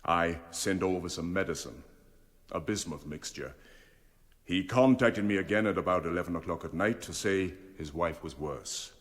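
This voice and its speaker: Man acting a proper family doctor, low-pitched, formal, defensive, hesitant, radio drama witness. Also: moderate pace, close to an everyday voice. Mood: formal, guarded. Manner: formal, hesitant under pressure.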